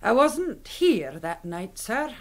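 A woman's voice: fairly high pitch, very animated, takes it slowly. A woman playing a homely, deferential Scottish housekeeper in a radio drama, polite and plain-spoken. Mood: matter-of-fact.

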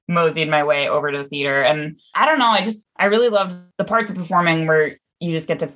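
A woman in her twenties, from Seattle, talks easily as a podcast guest. Her voice sits low for a woman, and she laughs often between stories. She swears casually and keeps things loose. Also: quick pace, fairly bright tone. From Seattle, USA. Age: 20s. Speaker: guest actor.

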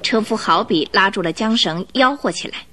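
A woman narrating a radio drama in an expressive, dramatic storytelling style. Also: medium pitch, fairly quick, slight background noise. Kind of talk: scripted Mandarin storytelling narration. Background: music.

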